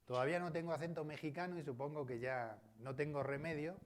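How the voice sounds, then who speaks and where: A man's voice: a little high, fast talker, a little hiss or hum. A man with a Spain Spanish accent giving an academic colloquium talk in a university auditorium, discursive and often adding tag questions. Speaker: guest speaker.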